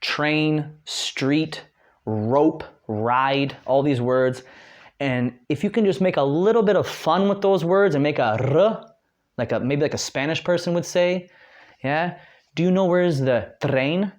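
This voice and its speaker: Chatty American man, slightly high voice. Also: unhurried. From Detroit, United States. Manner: chatty, many fillers and restarts. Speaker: guest language learner. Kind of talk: casual spoken lesson.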